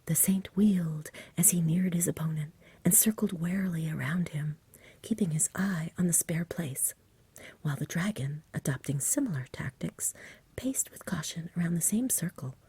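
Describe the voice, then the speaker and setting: A woman reading a bedtime story in a soft, whispery ASMR style, gentle and unhurried, close to the mic. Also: on the low side, no room sound. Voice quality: whispery, breathy. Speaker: storybook reader.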